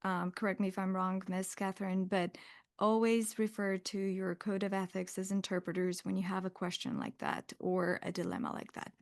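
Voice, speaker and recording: A woman moderating a live webinar. She reads attendee questions aloud and then states her own view plainly and firmly. Her pitch sits in the middle range. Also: lively intonation, normal speed, vocal fry.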